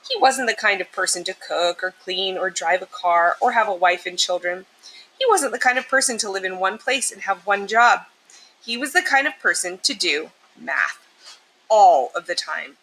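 A woman with a bright, forward voice at an average pitch reads a children's storybook aloud. Her delivery is expressive and explanatory, with emphasis meant for young listeners. Steady noise sits audibly behind her voice. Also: moderate pace, low-quality recording. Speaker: teacher reading a picture book aloud. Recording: clear steady background noise.

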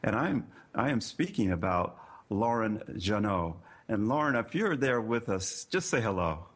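A man hosting a podcast interview, earnest and warm in his words, often repeating himself and using fillers. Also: fairly low pitch, sing-song, unhurried. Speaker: podcast host. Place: California.

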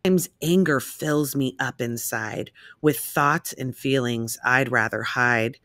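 A woman reading a rhyming children's picture book aloud in an expressive, measured storytelling manner. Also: very deep voice, dry recording, clean studio sound.

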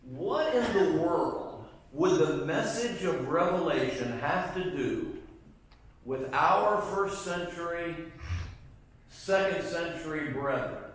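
A middle-aged man teaches a Bible class from the front of a church sanctuary, speaking slowly and with emphasis and quizzing the listeners as he goes. His voice sits a little high for a man. It is picked up from the back of a very echoey room, with faint noise behind it. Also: far from the mic. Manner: emphatic, asks the class questions. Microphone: far camera at the back of the room.

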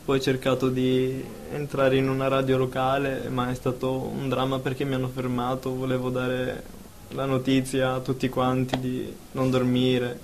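A man's voice: medium pitch, monotone, moderate pace, slight background noise, natural voice. A man acting an anxious therapy patient terrified of sleep in a radio drama, hesitant and rambling as he complains.

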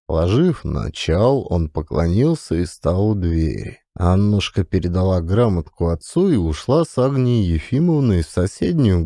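Very deep, dark-voiced male storyteller. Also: dry recording, studio quality. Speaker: audiobook narrator and actor. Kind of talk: dramatic scripted literary narration.

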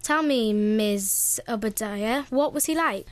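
A blunt, chirpy news reporter played by a woman in a radio comedy. The voice is high and presenterly, recorded dry. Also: slow, no echo.

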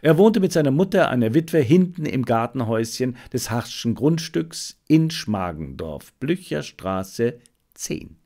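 A man's voice: medium pitch, very animated, measured pace, warm tone. A man reading a detective story aloud as scripted, dramatic audiobook narration.

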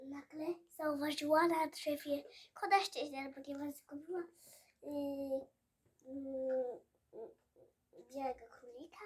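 A child telling a story aloud in a halting way, repeating words and stumbling. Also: high-pitched, flat delivery.

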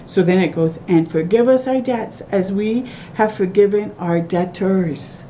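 A middle-aged woman reads a children's picture book aloud in a mellow, rounded voice. She speaks in simple, sing-song phrases aimed at small children and adds little asides. The home recording has noticeable background noise and a low rumble. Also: a little slow. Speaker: children's storytime reader. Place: small room at home, by a window.

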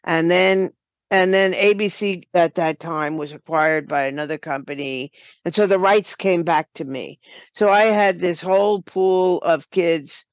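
A woman's voice: on the low side, measured pace, no echo. An older woman talking as an interview guest, telling stories conversationally over a dull lo-fi remote connection. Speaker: podcast guest, writer and producer. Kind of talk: conversational storytelling.

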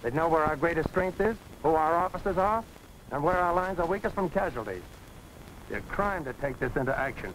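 An American actor playing a serious army sergeant in a 1940s film. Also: male voice, a little high, lively intonation, a little fast, natural voice. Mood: admonishing. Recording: noisy 1940s film soundtrack.

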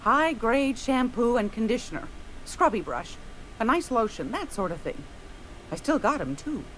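A woman's voice: a little high, sing-song, moderate pace, a bit bright, slight background noise, low-quality recording. An actress playing a friendly, motherly shopkeeper in a video game, chatty and obliging with a folksy manner.

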